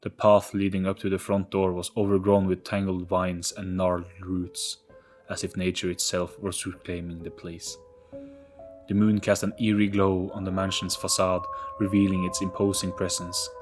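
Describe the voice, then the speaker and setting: A man reading a scripted, dramatic story in an ominous, measured storytelling manner. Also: sits low, little pitch movement, soft background music. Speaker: audio story narrator.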